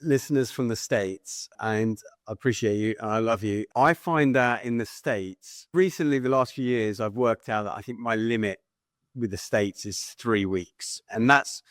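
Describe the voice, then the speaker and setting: A man's voice: fairly low pitch, moderate pace, no room sound, clean studio sound. A man hosting a podcast, chatting casually and rambling through stories over a video call. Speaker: podcast host. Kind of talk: casual conversational podcast chat.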